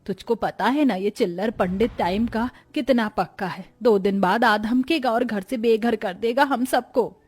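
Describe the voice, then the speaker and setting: A woman's voice: fairly high pitch, unhurried, few pauses, darkish tone, slightly rough. A woman playing an anxious, dutiful woman of a poor family in a cartoon story. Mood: worried.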